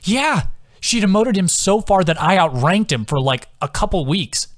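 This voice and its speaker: A man playing a gleeful, teasing soldier who tells a raucous story in an excited, informal way. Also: sits high, moderate pace, dry recording, clean studio sound, voice pushed up.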